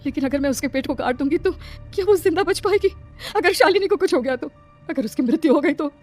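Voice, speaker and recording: An anxious, brave village aunt acted by a woman in a horror cartoon. She talks fast in a high, urgent, pleading voice over faint music. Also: lively intonation, a little mellow. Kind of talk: Hindi horror cartoon voice acting.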